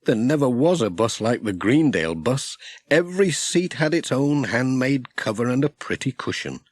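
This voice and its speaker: A man's voice: medium pitch, big pitch swings, moderate pace, no echo. A man with a British accent reading a children's story as a scripted audiobook narrator.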